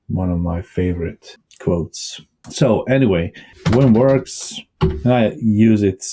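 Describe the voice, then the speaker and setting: A man whose first language is Bosnian, rambling casually with many pauses as he talks through his computer setup. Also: deep voice, dark tone. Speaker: vlogger. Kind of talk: casual screen-recorded desktop talk.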